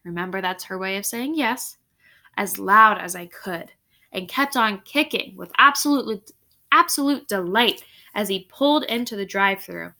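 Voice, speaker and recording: A woman's voice: mid-range voice, lively intonation, normal speed, creaky, sharp tone. A woman teacher reading a novel aloud to students, with casual explanatory asides and frequent check-ins. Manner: explanatory, often checking in with okay and right.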